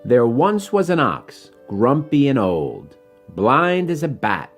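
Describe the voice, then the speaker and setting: A man reading his own rhyming children's story in an expressive, dramatic way. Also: medium pitch, slow pace, soft background music.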